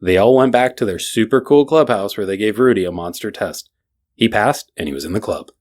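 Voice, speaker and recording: Male narrator, lowish voice, scripted bedtime storytelling for children. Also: animated, fairly quick, darkish tone.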